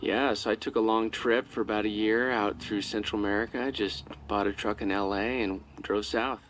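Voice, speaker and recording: A man, a guest co-owner of a hostel, talking in a relaxed, laid-back way in a radio studio interview. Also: fairly low pitch, moderate pace, slight background noise.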